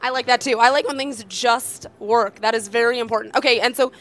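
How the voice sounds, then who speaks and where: A woman in her thirties hosting a trade-show interview, bubbly and enthusiastic with quick, eager reactions, speaking into a handheld mic in a convention hall. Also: a little high, fairly even intonation, fairly bright tone, faint music behind. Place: busy convention hall booth.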